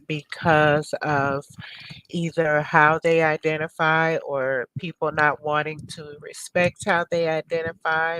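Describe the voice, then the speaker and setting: A woman's voice: sits low, a bit bright, smooth, low-quality recording. A middle-aged woman hosting a talk show over a video call, talking casually and hunting for words with lots of fillers. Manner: rambling, searching for words with many fillers.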